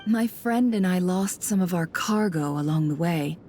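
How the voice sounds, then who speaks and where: A woman's voice: on the low side, normal speed, warm tone, close to an everyday voice. A woman playing a shrewd, composed traveler in a video game, speaking politely and with calculation. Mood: earnest, explaining. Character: shrewd woman traveler posing as antiques smuggler. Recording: music under the voice.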